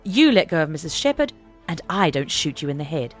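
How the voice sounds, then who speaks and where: Woman playing a steely, low-voiced female agent. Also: sing-song, a little fast, soft background music. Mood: calm threat. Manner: kindly tone with deadly intent. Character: steely, pistol-wielding female secret agent, coolly defiant. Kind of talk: audiobook character voice.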